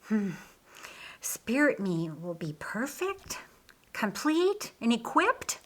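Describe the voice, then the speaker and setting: A woman's voice: fairly high pitch, very animated, slow. A woman voicing a thoughtful, wondering woman follower in an audiobook, speaking in a pondering, searching way. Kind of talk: audiobook character dialogue.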